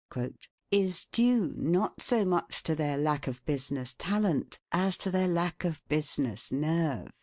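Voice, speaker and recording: Mellow, dry-sounding audiobook narrator. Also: medium pitch, no room sound. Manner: measured, formal, deliberate reading.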